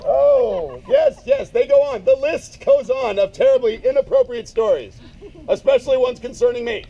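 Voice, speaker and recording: A man speaking loudly and emphatically in a casual way, giving a eulogy at a memorial gathering. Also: very high voice, normal speed. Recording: dull lo-fi sound, faint noise.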